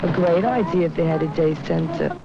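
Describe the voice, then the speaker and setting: A woman's voice: fairly low pitch, brisk, gravelly. A young woman speaking plainly in a candid documentary interview as a mother, repeating her points for emphasis. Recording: dull, very noisy old newsreel film sound.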